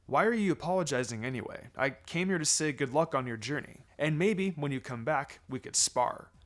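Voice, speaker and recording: A man's voice: a little high, animated, moderate pace, close to an everyday voice. Man reading as a cool brotherly young ninja. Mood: matter-of-fact.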